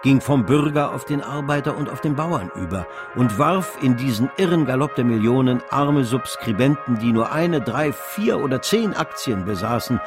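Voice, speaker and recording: A man's voice: medium pitch, lively intonation, normal speed, hardly any pauses, over music, lightly acted. A man narrating a literary radio drama as an eloquent storyteller. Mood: sweeping, vivid narration. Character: eloquent storyteller chronicling a financial frenzy.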